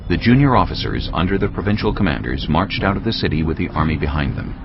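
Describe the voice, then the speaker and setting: A man's voice: rumbling low, lively intonation, fast talker. A man narrating a dramatised Bible story as a scripted reading. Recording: dull lo-fi audio with music. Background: background music.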